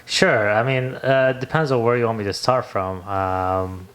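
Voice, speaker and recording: A man's voice: fairly low pitch, steady tone, measured pace, fairly bright tone. A young man from Libya, an English speaker, telling his story as a podcast guest and searching for his words. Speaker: podcast guest, business owner. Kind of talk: casual interview chat.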